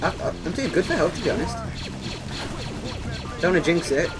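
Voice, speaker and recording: An excitable young man giving casual commentary while playing a co-op video game with friends. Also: high voice, a little fast, poor audio. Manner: excitable, exclaiming. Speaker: gaming video host. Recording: game sound and music behind voice. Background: video game sound and music.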